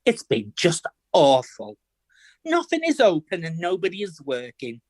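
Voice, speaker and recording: A glitz-loving theatre woman, acted by an actress, with a very animated voice. She is breezy and showy, recorded dry. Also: lowish voice, a little slow, no room sound, heavily acted. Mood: lamenting.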